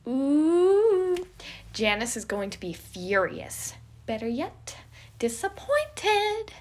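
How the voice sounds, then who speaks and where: A woman's voice: sits high, very animated, extremely slow, voice pushed up, exaggerated voice. A woman voicing a boisterous, theatrical and eccentric man in an audio story. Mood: gleeful. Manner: loud, theatrical, exclaiming. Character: boisterous, gleefully chaotic eccentric man who scolds birds. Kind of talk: fan fiction audio story character.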